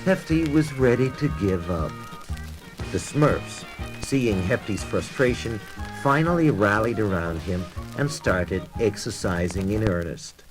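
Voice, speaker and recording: A man's voice: fairly low pitch, expressive, a little slow, poor audio. A man reading scripted narration for a children's read-along storybook record. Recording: children's record with music behind. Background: music.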